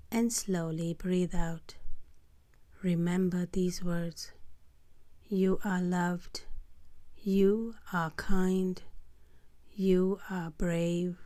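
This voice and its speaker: A woman gently guiding children through a scripted bedtime meditation, soothing and hushed, often close to a whisper, in short phrases with pauses. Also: on the low side, no echo. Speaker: host of a children's sleep meditation.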